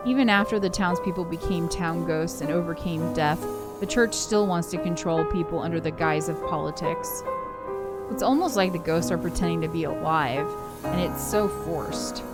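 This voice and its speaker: A woman's voice: lowish voice, moderate pace, natural voice. A woman reading a brooding, hazy-headed young ghost girl's first-person narration from a visual novel. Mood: resentful. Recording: prominent background game music.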